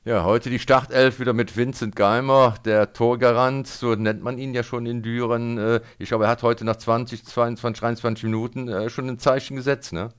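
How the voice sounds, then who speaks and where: A man's voice: mid-range voice, flat delivery, moderate pace, hardly any pauses, clean tone. A man working as a sports radio interviewer, putting questions to a guest after a match.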